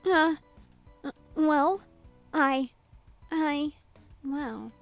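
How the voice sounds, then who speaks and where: A soft-hearted young love interest from a visual novel game. Her voice is high, gentle and slightly hesitant. Faint music plays behind her. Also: drawn-out words, no echo, full character voice. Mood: flustered, hurt.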